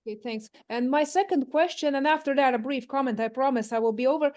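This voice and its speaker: A woman's voice: high-pitched, fast, a little mellow. A young woman, an audience member asking questions casually, with lots of "like". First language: Croatian. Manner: chatty, lots of "like".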